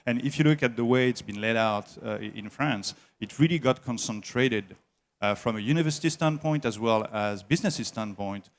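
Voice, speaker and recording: A man heading an engineering services company, answering interview questions at a trade show in a slow, halting way with many filler sounds. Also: medium pitch.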